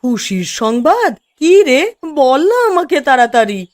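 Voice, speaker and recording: Woman acting an expressive, high-voiced village mother. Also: measured pace. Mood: excited, curious. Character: emotional village mother and neighbour wife, middle-aged. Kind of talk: Bengali moral-story cartoon voice acting.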